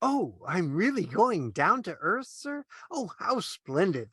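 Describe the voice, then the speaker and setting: A man's voice: high voice, sing-song, slow pace, a bit bright, breathy edge, no echo, clean studio sound, heavily acted. A man playing an eager, naive, kindly junior angel in old-time radio play style, with earnest, exclaiming delivery. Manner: earnest, exclaiming, swooping intonation.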